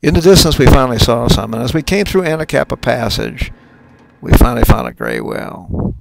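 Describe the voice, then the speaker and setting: An older man, a sailing captain, narrating his own trip video in a relaxed, folksy way with some fillers. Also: medium pitch, a little fast, vocal fry, slight background noise, low-quality recording.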